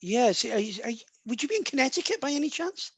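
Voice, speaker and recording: A man's voice: very high-pitched, very animated, fast talker, a bit bright, slightly rough, no echo. A man working as a psychic medium in a live online session, talking in a chatty, rambling way full of restarts.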